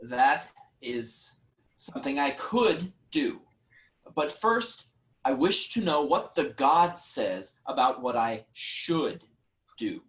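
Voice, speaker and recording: Man playing a slow, measured royal statesman. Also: mid-range voice, lively intonation, a bit bright, rough recording. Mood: measured, cautious. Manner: formal, deliberate. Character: composed, authoritative male royal, measured and pragmatic. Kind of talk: theatrical Greek tragedy reading.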